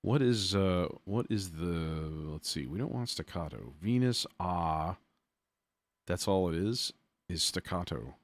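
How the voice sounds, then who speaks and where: A man's voice: deep voice, expressive, slow. A man hosting a casual live music production demo, muttering as he thinks aloud with folksy phrasing. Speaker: music production tutorial host.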